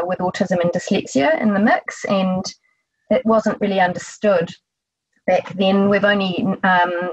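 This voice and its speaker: A woman's voice: fairly low pitch, no echo, lo-fi sound. A middle-aged woman with a New Zealand accent, a therapist answering interview questions conversationally.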